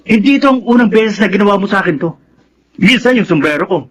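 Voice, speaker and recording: A man's voice: high-pitched, animated, quick pace, fairly bright tone, a little hiss or hum. A man acting an irritable, strict young older brother in a Filipino radio drama, scolding in an indignant way. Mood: indignant, angry. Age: young adult.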